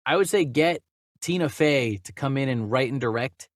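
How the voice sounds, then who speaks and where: A man hosting a casual movie news chat in an animated, jokey and irreverent way. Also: medium pitch, moderate pace, dry recording, studio quality. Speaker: podcast host.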